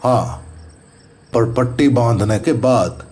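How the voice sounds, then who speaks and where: A man with a Rajasthani accent playing a methodical, serious paranormal investigator in an audio horror drama, measured and explanatory. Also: mid-range voice, expressive, a little mellow. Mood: calm, explaining.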